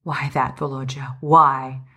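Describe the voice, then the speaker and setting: A woman's voice: a bass voice, level tone, takes it slowly, sharp tone, breathy edge, voice pushed down, exaggerated voice. A woman voicing a devoted, anxious wife in a storybook reading, tender and questioning. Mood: shocked, wounded.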